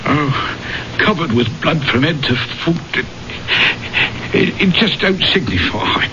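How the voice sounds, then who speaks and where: High, swooping, flustered manservant. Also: male voice, expressive, takes it slowly. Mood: shaken, deferential. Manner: hesitant, stammering, servile. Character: deferential, flustered manservant, fussy and eager to explain. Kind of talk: 1970s radio mystery drama acting. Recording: dull, noisy old radio broadcast.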